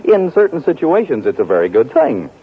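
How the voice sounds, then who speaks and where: A male radio announcer reading scripted narration in a smooth, wry, declamatory way. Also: fairly high pitch, wildly swooping pitch, brisk, a little hiss or hum. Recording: tinny 1940s radio broadcast with music.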